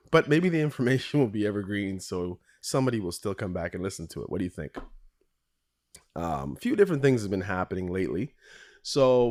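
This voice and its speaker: A man hosting his own podcast in a rambling solo monologue, his voice hoarse and raspy from a cold, chuckling often between asides. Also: on the low side, a little mellow. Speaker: podcast host.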